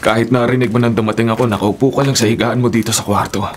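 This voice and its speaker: A man playing a tender, emotional father who hides deep hurt, in a Filipino radio drama with a Filipino accent. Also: steady tone, quick pace, a little hiss or hum, close to an everyday voice. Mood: cheerful, then concerned. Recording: guitar music under the voice.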